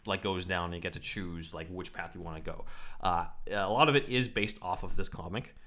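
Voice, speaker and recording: A man with a fairly low voice hosts a podcast in loose, casual chat. He explains things in a rambling way and leans on plenty of fillers as he goes. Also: a little fast, fairly bright tone. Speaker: podcast host.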